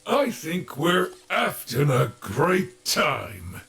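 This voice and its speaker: A man's voice: a little high, huge pitch swings, drawn-out words, gravelly, bright, forceful tone, exaggerated voice. A man playing a cheerful, clumsy animatronic bear entertainer in an audio roleplay, with an earnest, wordy manner.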